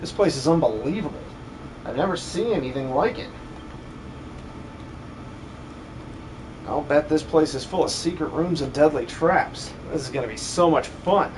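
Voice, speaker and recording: A man's voice: on the high side, animated, brisk, noisy background, natural voice. A man voicing a bold, eager young adventurer with a confident, slightly haughty air in a video game fan dub. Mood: awed, excited.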